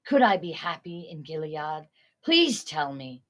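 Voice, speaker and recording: A woman's voice: low-pitched, big pitch swings, unhurried, a bit bright. A young woman, a student, reading a scripted story retelling aloud, quoted dialogue included. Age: teens or young adult. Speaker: student reader.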